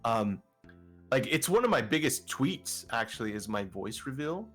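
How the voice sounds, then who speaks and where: A man with a deeper voice, guesting on an interview and talking in a casual, rambling way with many restarts. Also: very animated, normal speed, fairly bright tone, soft background music. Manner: rambling, filler-heavy, frequent restarts. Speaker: vtuber guest.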